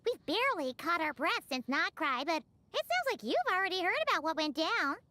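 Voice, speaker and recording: A tiny fairy companion with an extremely high, childlike squeak. She is perky and chatty, with a bright, piercing edge, in the manner of an anime video game sidekick. Faint noise sits on the recording. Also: sing-song, a little fast, exaggerated voice. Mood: matter-of-fact. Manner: perky, chatty, speaks of herself in third person.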